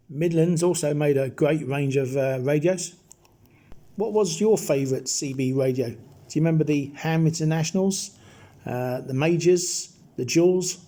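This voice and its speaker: A middle-aged or older man narrating a nostalgic retrospective in an informal, measured explaining way as a hobbyist presenter. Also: a little high, warm tone.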